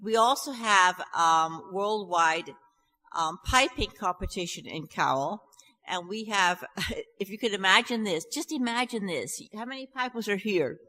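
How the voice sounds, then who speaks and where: A woman's voice: medium pitch, animated, measured pace, bright, forceful tone. Chatty Scottish woman in a reverberant hall. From Scotland. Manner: nervous, chatty and self-deprecating, with laughs and restarts. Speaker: festival singer introducing a song. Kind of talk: chatty stage talk to an audience. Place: church hall. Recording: live recording in a reverberant hall.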